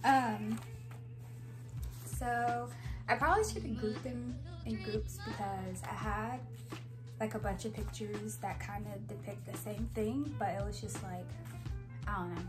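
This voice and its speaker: A young woman with a soft voice chatting casually to camera in her bedroom, rambling with giggly asides, on a clip-on mic. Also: mid-range voice, steady tone, measured pace, music in the background. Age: young adult. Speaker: vlogger. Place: bedroom, indoors. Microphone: clip-on mic after the first part.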